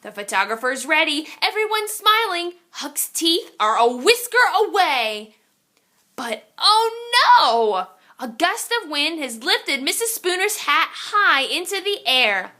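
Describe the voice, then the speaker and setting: A woman's voice: high-pitched, very animated, takes it slowly, sharp tone. A woman reading a children's picture book aloud in a theatrical, suspenseful way, drawing out her exclamations. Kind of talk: animated children's story read-aloud.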